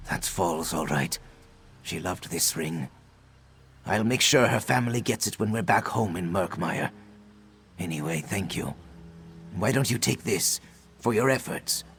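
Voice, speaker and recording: A man's voice: sits low, expressive, measured pace, gravelly, long pauses, a bit bright, exaggerated voice. A man voicing a grateful, grieving expedition companion in scripted fantasy game dialogue. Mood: subdued, grateful. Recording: faint background noise.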